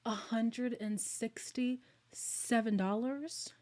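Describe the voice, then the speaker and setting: Woman streamer, casual live chat host, chatty and animated, slightly worn, hoarse voice. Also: on the high side, takes it slowly. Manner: chatty, excitable, laughs often. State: losing her voice, a little hoarse. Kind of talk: casual livestream chat with viewers.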